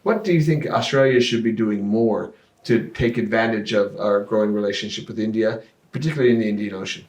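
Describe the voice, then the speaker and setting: A man hosting a conference conversation, asking friendly, chatty questions in a relaxed way. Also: lowish voice, fairly quick, echoey room. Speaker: interviewer and host. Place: conference venue in Australia.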